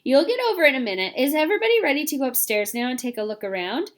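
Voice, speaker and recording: A woman voicing a courteous old grasshopper who tries to keep everyone calm, in a storybook reading. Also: high voice, very fast, pitched above the performer's own voice. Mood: reassuring. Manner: reassuring, courteous. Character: kindly old male grasshopper, the group's calm elder.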